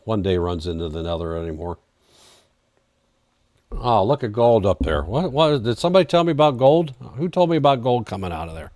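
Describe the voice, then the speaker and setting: A man's voice: fairly low pitch, darkish tone, dry recording, clean studio sound. A man hosting a live trading stream, chatting casually and answering viewer questions while he reads charts, his voice getting worn out. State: losing his voice. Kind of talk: casual live market commentary.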